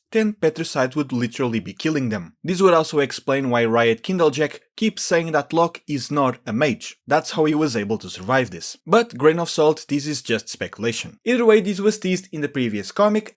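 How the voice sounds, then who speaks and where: A man narrating scripted commentary, explaining and speculating as he goes. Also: a little high. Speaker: video narrator.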